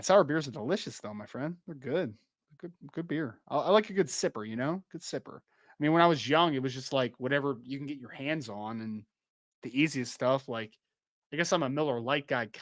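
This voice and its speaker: A man's voice: medium pitch, normal speed, dry recording. A young man hosting a podcast in casual chat, rambling and laughing easily, with a slightly worn voice. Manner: chatty, rambling, laughs easily, many restarts and "like". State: losing his voice a little. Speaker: podcast host. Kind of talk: casual live-stream podcast chat with chat readers.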